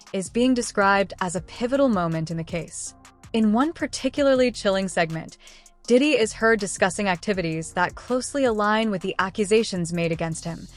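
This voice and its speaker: Woman narrating dramatically over music. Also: medium pitch.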